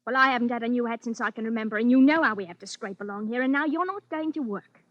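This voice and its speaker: Actress as high-voiced nagging wife. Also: female voice, quick pace, fairly bright tone, lo-fi sound, close to an everyday voice. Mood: scolding. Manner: scolding, sarcastic, plain-spoken. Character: nagging, practical, exasperated working-class wife. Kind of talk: 1940s radio suspense acting.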